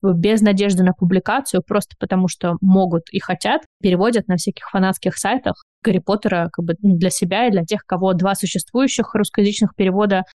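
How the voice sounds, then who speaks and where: A woman's voice: medium pitch, steady tone, a little fast, few pauses, a little mellow, no echo. Enthusiastic woman guest, dry sound. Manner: thoughtful, enthusiastic, with fillers and light laughs. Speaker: podcast guest, bookshop owner. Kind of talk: casual interview chat.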